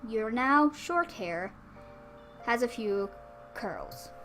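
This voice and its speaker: Higher-voiced woman reading a visual novel, music behind. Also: lively intonation, extremely slow, fairly bright tone. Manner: informal asides between read passages. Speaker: let's play host. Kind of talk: reading a visual novel aloud with casual commentary.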